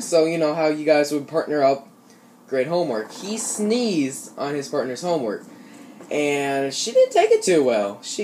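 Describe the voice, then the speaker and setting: A young American man telling casual stories over gameplay, with slangy, swear-heavy talk. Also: on the high side. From Ohio, United States. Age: teenager or young adult. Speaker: gaming YouTuber. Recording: noisy home recording, gameplay sounds behind. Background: shooter game sounds.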